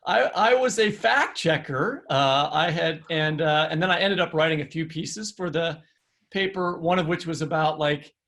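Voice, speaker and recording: A man's voice: fairly high pitch, moderate pace, a bit bright. A man co-hosting a podcast, interviewing a guest in a conversational way. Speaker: podcast co-host.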